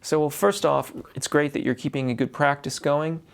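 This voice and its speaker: A man's voice: on the high side, steady tone, normal speed, creaky. A man who teaches voice, reading a viewer's question aloud and then giving practical advice, often restarting his sentences. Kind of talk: instructional talk to camera.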